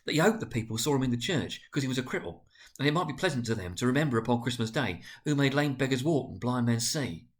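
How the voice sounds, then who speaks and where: A man voicing a kindly, tender working-class father in a storybook, speaking earnestly and gently. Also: mid-range voice, expressive, fast, close to an everyday voice.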